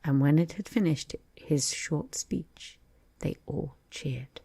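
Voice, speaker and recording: A woman's voice: sits low, slow pace, mellow, breathy edge, no echo. A woman narrating a children's bedtime story as storyteller.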